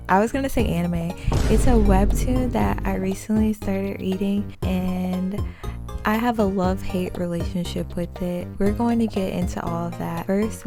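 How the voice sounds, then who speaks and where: A woman hosting as a VTuber, narrating a webtoon review with casual asides. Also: mid-range voice. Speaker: VTuber host.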